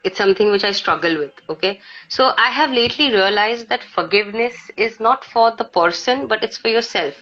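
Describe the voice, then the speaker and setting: Indian woman poet chatting on a live, halting, normal pitch, kinda muffled lo-fi audio. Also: a bit bright. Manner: halting, peppered with 'you know'. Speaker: poet on a live poetry session. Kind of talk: casual reflective chat and poetry recitation. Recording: dull lo-fi live stream audio.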